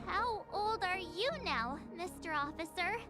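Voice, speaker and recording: Tiny, very high-pitched companion creature. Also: female voice, unhurried. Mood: curious. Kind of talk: video game story dialogue. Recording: loud game music behind the voice.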